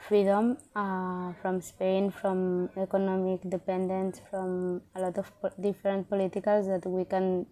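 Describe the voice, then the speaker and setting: A woman who speaks haltingly as an interviewee, searching for words. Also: mid-range voice, completely flat delivery, mellow.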